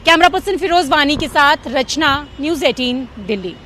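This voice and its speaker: A woman reports for television news in a high-pitched voice. She has the practised delivery of a field correspondent. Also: animated, moderate pace, fairly bright tone, a little hiss or hum.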